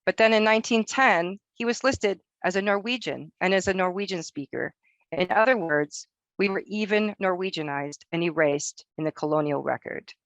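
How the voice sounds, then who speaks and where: Gravelly-voiced woman reading an academic talk. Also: fairly low pitch, moderate pace, dry recording, poor audio. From Northern Norway. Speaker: researcher presenting at a roundtable. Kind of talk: prepared academic presentation.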